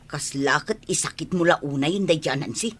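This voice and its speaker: A woman's voice: deep voice, brisk, a bit bright, breathy edge. A woman with an Ilocano Filipino accent playing a resentful, gossipy neighbour in a radio drama, complaining sharply.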